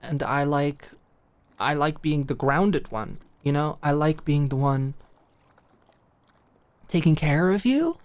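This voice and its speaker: A young woman playing a smitten, protective girl at camp in an intimate ASMR roleplay, speaking earnestly and haltingly. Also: deep voice, animated, a little mellow, dry recording. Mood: smitten, tender. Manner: halting, earnest, giggly, stammering. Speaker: audio roleplay performer.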